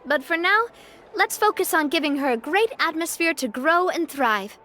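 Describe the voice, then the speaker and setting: A woman's voice: very high voice, expressive, normal speed, sharp tone, slight background noise, full character voice. An actress playing a confident, poised young woman who leads a syndicate in a video game. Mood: warm, reflective. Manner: poised, assertive. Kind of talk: video game character acting.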